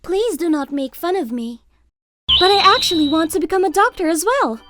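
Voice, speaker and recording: A kind, eager high school girl for a manga cartoon. Her voice is extremely high, and she speaks with humble, apologetic politeness. Light music runs underneath. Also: lively intonation, normal speed. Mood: polite, earnest. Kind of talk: manga video character dialogue.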